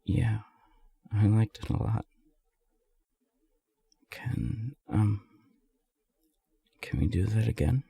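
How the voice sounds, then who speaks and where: A man playing a lovestruck, self-deprecating non-binary friend in an intimate romantic roleplay audio, speaking softly and haltingly in a breathy, husky voice. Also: on the low side, lively intonation, no echo, exaggerated voice. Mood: shy, happy. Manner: hesitant, halting, soft-spoken.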